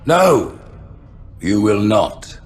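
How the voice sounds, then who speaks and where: An actor playing a cold, stately alien religious ruler in a game, with lofty, ceremonial delivery. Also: male voice, on the low side, big pitch swings, drawn-out words, full character voice. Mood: stern, condemning.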